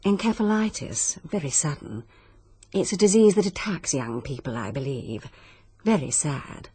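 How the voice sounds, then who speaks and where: A genteel stepmother in a radio play. Her voice is dark and a little husky, moving expressively through clipped, guarded phrases. She sounds coolly disapproving and proper. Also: low-pitched, expressive, normal speed, full character voice. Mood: coolly regretful. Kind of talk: 1940s-style British radio drama acting.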